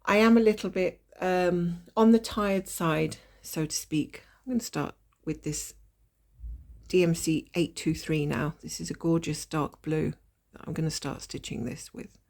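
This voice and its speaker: A woman with a fairly low voice chats through a stitch-along from her desk. A lingering cold leaves her sounding nasal and slightly hoarse. She talks in a relaxed, apologetic way, laughing softly now and then. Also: measured pace. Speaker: cross-stitch vlogger. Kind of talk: casual stitch-along chat. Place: at a desk indoors.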